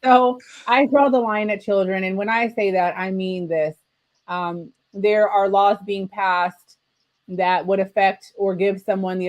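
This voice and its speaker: A woman of medium pitch hosts a live discussion. She explains her position in a measured, deliberate manner, recorded dry with little room sound. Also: no echo, highs cut off. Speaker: discussion host.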